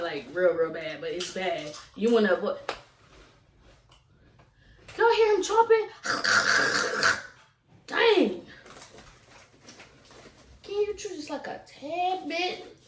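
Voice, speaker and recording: A woman's voice: fairly high pitch, big pitch swings, takes it slowly, long pauses, a bit bright, a little hiss or hum. A young adult woman with a Southern US accent telling a casual, repetitive story to viewers as a vlogger. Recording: roomy echo, distant from mic.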